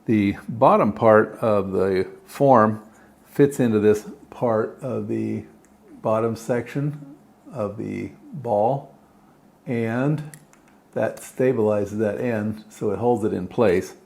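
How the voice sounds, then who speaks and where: Male DIY tutorial host: fairly low pitch, noticeable vocal fry, informal, plain-spoken hands-on explaining. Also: a little mellow. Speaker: host of DIY electronics build videos. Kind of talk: casual hands-on tutorial talk.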